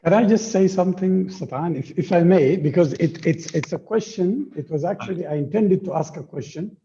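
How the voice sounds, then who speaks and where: A man speaking informally and unscripted on a panel, halting and repeating himself as he searches for words. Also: high voice, warm tone. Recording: dull, muffled online call.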